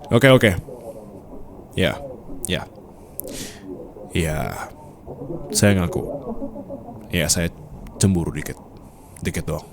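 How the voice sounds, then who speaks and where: A man's voice: deep voice, animated, drawn-out words, creaky, long pauses, background noise, heavily acted. A man with an Indonesian accent playing a possessive, teasing boss and doting fiancé in an intimate ASMR roleplay, flirtatious and playfully domineering. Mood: jealous, defensive. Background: ambient room sound effects.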